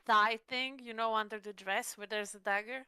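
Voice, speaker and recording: Woman with a smooth, dry-recorded voice. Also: a little high, steady tone, moderate pace, bright, forceful tone, no echo. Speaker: player in a tabletop roleplaying stream. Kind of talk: casual in-character game chat.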